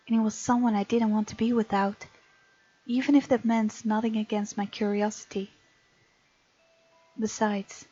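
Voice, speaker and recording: A woman's voice: medium pitch, mellow. Woman reading a game aloud, muffled sound. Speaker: game let's-play host. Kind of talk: reading visual novel narration aloud, with commentary. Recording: dull sound, music behind. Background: game music.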